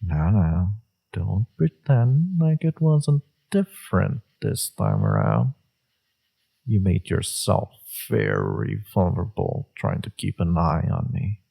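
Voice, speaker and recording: A man playing a smug, seductive villain in a romantic audio roleplay, teasing and sure of himself, with a low, gravelly, husky voice. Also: very animated, dark tone, exaggerated voice. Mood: teasing, guarded. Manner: teasing, self-assured, unhurried.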